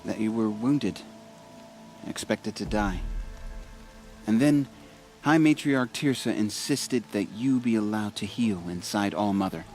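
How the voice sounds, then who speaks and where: Man acting a gentle, earnest young tribesman over music. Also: on the low side, a little slow, close to an everyday voice. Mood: sincere, subdued. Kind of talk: video game cutscene acting.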